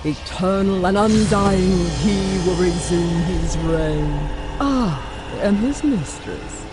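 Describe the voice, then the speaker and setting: A zealous game villain, voiced by a man, speaks slowly in a high voice. The delivery is reverent and portentous, with music clearly behind it. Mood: exultant reverence. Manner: slow, portentous. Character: reverent, zealous villain heralding an undying king. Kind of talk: video game cutscene acting.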